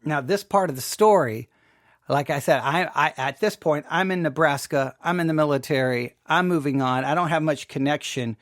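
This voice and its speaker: A middle-aged man giving informal personal commentary as a host, in a candid, halting way, repeating himself as he goes. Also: a little high, a bit bright, no echo, clean studio sound. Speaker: podcast host.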